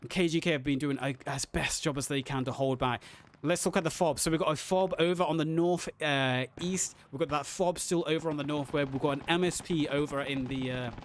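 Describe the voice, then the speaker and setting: Male live-match caster, voice going hoarse, pitched a bit high, light background noise. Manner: excited, builds to high pitch on big plays. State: losing his voice. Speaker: esports caster. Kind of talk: live game commentary.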